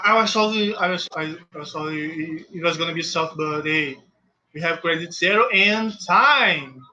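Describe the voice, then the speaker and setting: A man with a Portuguese accent, a native Portuguese speaker, commentating his own speedrun live in halting English that often restarts mid-phrase. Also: high voice, sharp tone, lo-fi sound.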